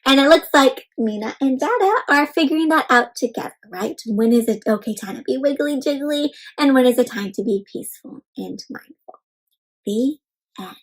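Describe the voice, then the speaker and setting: A woman hosting an interactive picture-book read-aloud for young children, expressive and speaking directly to her listeners with questions. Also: high voice, normal speed, poor audio.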